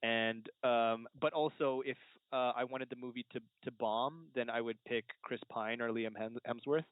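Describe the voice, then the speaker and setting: A man's voice: medium pitch, steady tone, normal speed, dry recording. Man hosting chat over tinny call. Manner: jokey, laughs readily. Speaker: podcast host. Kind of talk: casual chat, film discussion. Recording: tinny, dry, band-limited call audio.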